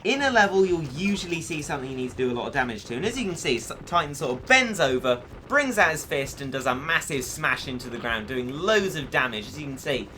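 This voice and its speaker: A man giving upbeat, joking commentary over a mobile game, his voice going a little hoarse. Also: a little high, expressive, fast, hardly any pauses, sharp tone, a little hiss or hum, low-quality recording. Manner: chatty, upbeat, self-deprecating jokes. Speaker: gaming YouTuber. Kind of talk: let's play commentary.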